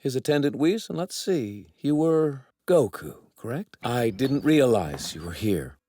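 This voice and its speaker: Haughty anime god villain, wide-swinging pitch. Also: male voice, very animated, unhurried, mellow. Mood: smoothly polite. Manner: formal, haughty, precisely worded. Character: arrogant young male apprentice god, righteous villain with courteous menace. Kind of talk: anime dub voice acting.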